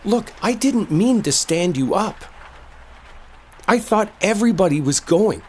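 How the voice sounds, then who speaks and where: A man's voice: high voice, expressive, a little slow, a little hiss or hum. A man playing an exasperated, defensive coworker who is secretly smitten, in an emotional roleplay audio drama. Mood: confessing, pleading. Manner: emotional, pleading, emphatic, conversational. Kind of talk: roleplay audio drama, intimate one-sided dialogue.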